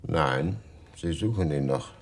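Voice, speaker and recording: A man's voice: a bass voice, animated, slow, heavily acted. An actor playing a guarded, suspicious male bookseller in a German radio crime drama, curtly polite and wary. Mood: guarded politeness.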